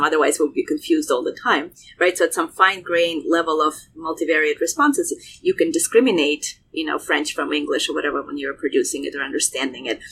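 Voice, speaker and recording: A woman's voice: low-pitched, slight background noise, poor audio. A woman from Russia, a native Russian speaker, explaining neuroscience conversationally as a guest, with lots of fillers and restarts. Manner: animated, many fillers and restarts.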